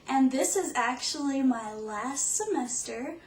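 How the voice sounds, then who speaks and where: A woman's voice: sits high, lively intonation, unhurried. A young woman in her twenties, a graduate assistant, introducing herself eagerly for an online course. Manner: eager and upbeat. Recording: echoey room, distant mic.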